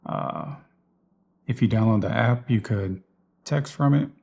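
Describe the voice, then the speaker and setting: A man's voice: mid-range voice, measured pace, gravelly, a little mellow. A man giving a casual, unscripted walkthrough of his gear in a plain, explanatory way, like a vlogger. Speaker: vlogger presenting personal radio gear.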